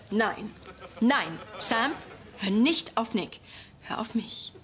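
An actress playing a bossy young woman, speaking clear slow German in a sitcom for learners. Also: a little high, expressive, fairly bright tone, heavily acted.

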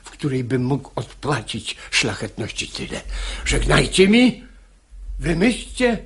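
Wronged household master: middle-aged, fiery, slow delivery with big swings, radio verse comedy. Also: male voice, mid-range voice, big pitch swings, slightly rough, heavily acted. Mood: grateful, hurried. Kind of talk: verse stage comedy performed as radio drama.

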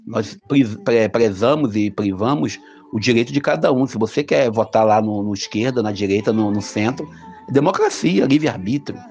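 A man's voice: mid-range voice, darkish tone. Brazilian man giving a casual gospel reflection. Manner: conversational, many hesitations and restarts. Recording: slightly dull lo-fi audio with faint music.

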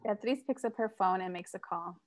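A woman's voice: medium pitch, a little fast, no room sound. A young adult woman narrating screenplay stage directions at a live table read from home. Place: living room at home, on a video call.

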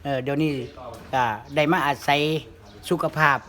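A man's voice: a little high, fairly even intonation, moderate pace, a bit bright. A man with a northeastern Thai accent, chatting and telling humorous folk stories in a casual, rambling way. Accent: northeastern Thai (Isan). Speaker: comic storyteller. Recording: clear background noise.

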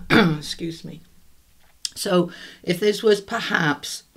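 A woman's voice: fairly low pitch, drawn-out words, husky. A middle-aged British woman from the UK giving a chatty, rambling tarot reading to her viewers, her voice a little throaty and congested. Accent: British English. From United Kingdom. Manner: chatty, rambling, addresses viewers warmly as a group. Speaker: tarot reader. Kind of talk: casual spoken tarot reading.

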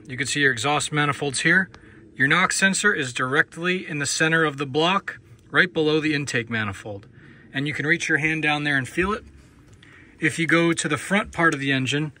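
A man with a somewhat high voice explains a repair step by step. He talks in a methodical, explanatory way, like a host guiding viewers through a job. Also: fairly bright tone. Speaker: channel host demonstrating repairs. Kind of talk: instructional walkthrough.

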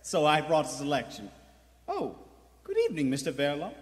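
A man's voice: a little high, very animated, moderate pace. An actor playing a glib, meddling young shop man, jaunty and declamatory, in old radio-play style. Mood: glib, cheerful. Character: cheeky, glib young male greengrocer, eager to meddle. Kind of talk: 1940s radio acting. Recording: echoey theater hall.

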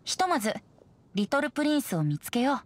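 Playful teenage-sounding boy bard for a game: light, highish, lively, whimsical. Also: fast. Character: breezy, playful boyish young male bard. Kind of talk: video game voice acting.

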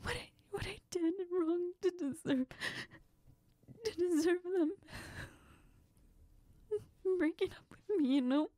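A woman playing a heartbroken young woman crying to her best friend in an intimate audio role-play, halting and apologetic, often slipping into whispers. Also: very high voice, dark tone, no echo. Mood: sobbing, heartbroken. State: crying, tearful, sniffling.

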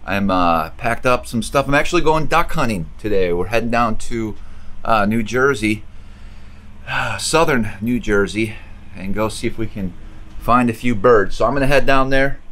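A man's voice: on the low side, normal speed. A man in his thirties hosting a fishing vlog, chatting casually with lots of fillers. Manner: relaxed, chatty, many fillers. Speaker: fishing channel host. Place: outdoors in a coastal salt marsh. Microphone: action camera held close. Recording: noisy outdoor camera audio.

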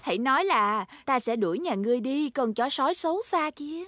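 A woman's voice: high voice, expressive, fairly quick, fairly bright tone, no room sound, studio quality. A woman voicing a kindly, patient, encouraging animal mother in a dubbed children's cartoon.

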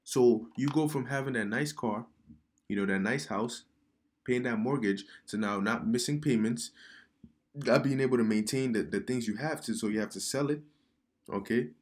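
A man giving casual religious commentary over news articles he reads aloud, halting and repeating words, often calling the listener "man.". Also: medium pitch. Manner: preachy, addresses viewer as man. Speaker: online commentator. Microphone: computer mic during screen recording.

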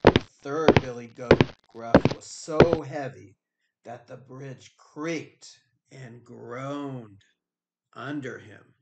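A man's voice: medium pitch, extremely slow, creaky, lo-fi sound, slight background noise. A middle-aged man narrating a children's storybook expressively, as a teacher reading aloud.

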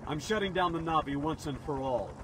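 An actor playing a ruthless military commander, a video game villain with an authoritative, barking delivery. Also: male voice, a little high, a little fast, noisy background, natural voice. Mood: cold command. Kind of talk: dramatic video game dialogue.

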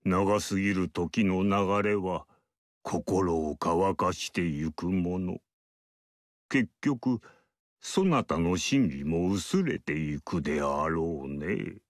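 Game VO: an aloof ageless sage, low and slow, archaic lofty delivery. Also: male voice, dry recording, clean studio sound. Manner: measured, archaic and lofty speech. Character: ageless male mystic sage, calm and aloof. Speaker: game character. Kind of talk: game dialogue acting.

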